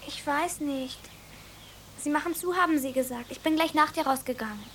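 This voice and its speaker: A woman's voice: high voice, moderate pace, a bit bright, a little hiss or hum. A woman playing an innocent, trusting little peasant girl in a radio drama, naive and childlike. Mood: frightened. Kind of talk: German radio drama acting.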